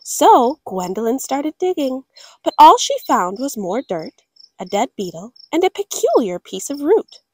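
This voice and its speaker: A woman reading a picture book aloud for children, as a story time host, with deliberate, dramatic phrasing. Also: sits high, big pitch swings, dry recording.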